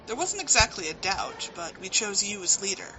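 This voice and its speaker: A woman's voice: medium pitch, big pitch swings, moderate pace, sharp tone, a little hiss or hum, low-quality recording. A woman playing a devoted, steadfast comrade of an island leader in an audio drama, earnest and assured. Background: music.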